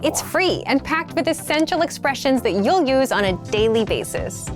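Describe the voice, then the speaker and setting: A woman delivers a scripted promotional voice-over. Her voice is fairly high and very animated in its melody. Faint music plays behind her. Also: moderate pace. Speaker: promotional announcer.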